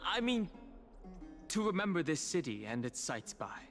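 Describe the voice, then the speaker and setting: A man's voice: a little high, big pitch swings, moderate pace, fairly bright tone. An actor voicing a young male traveler in a video game, talking in a dry, slightly awkward way. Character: wry young male traveler hiding his origins. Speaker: player character. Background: game music.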